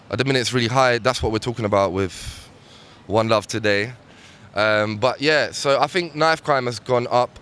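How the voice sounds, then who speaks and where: A man's voice: mid-range voice, a bit bright. A man in his thirties speaks in a laid-back, reflective way into a handheld mic on a city street. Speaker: interviewee. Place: busy city street outdoors. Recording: outdoor street noise behind.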